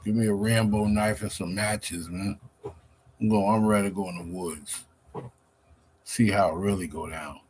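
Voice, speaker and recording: A man's voice: lowish voice, fairly even intonation, moderate pace, long pauses, a little hiss or hum. A man about fifty, rambling on a live stream, weary and venting with plenty of swearing. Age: 50s. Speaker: livestreamer.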